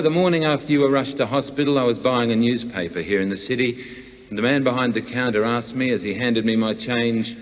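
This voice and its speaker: An Australian man delivering a scripted eulogy for a friend at a memorial. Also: medium pitch. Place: large hall, memorial gathering. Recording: echoey hall with long reverb.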